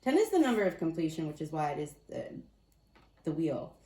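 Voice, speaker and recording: Lower-voiced woman reading tarot, slightly raspy. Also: big pitch swings, brisk, mellow, echoey room. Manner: chatty, thinks aloud and corrects herself. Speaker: tarot card reader. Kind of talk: casual spiritual card reading.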